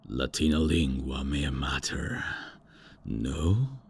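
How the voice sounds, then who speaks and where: Man doing an ancient vampire lord, very deep, slow and pompous, gravelly. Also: sing-song, a little mellow, heavily acted. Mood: bewildered. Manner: pompous, theatrical. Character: ancient haughty male vampire lord, out of touch. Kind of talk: ASMR comedy roleplay.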